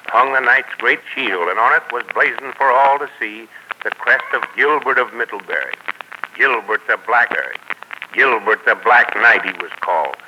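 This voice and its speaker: A man narrating an old radio adventure in a measured storytelling way. His voice sits at a plain middle pitch. It comes through a noisy 1940s broadcast recording. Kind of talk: scripted narration.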